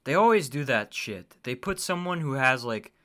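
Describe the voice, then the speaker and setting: A man giving casual, opinionated livestream commentary as he reacts to a video, blunt and quick to swear. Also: mid-range voice, lively intonation, a bit bright.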